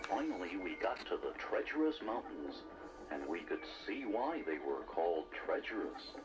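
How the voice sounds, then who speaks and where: A man's voice: deep voice, sing-song, normal speed, poor audio. A man voicing an eager, naive young sidekick creature in a children's read-along story, with a wide-eyed, exclaiming manner. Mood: eager narrating. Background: music.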